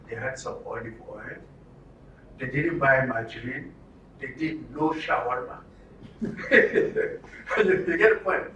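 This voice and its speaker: A man's voice: on the high side, pushed and bright. A man with a Nigerian accent facilitating a live workshop, speaking instructively with rhetorical questions and repetition. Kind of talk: live workshop talk to a group. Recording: roomy, distant mic with some noise.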